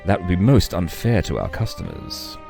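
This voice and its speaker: Deep, gravelly immortal guardian. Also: male voice, sing-song, normal speed, over music, exaggerated voice. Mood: solemn. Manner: formal, solemn. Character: grave, measured immortal guardian bound to duty. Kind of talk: audio drama acting.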